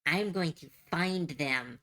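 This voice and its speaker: A woman voicing a determined, grieving old grandpa in a made-up anime pitch, speaking resolutely in close to her own voice. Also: slow, fairly bright tone.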